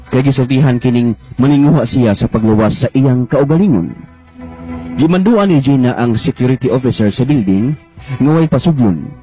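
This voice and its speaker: A man's voice: mid-range voice, warm tone, poor audio. A man narrating a Cebuano radio drama in a dramatic scripted style.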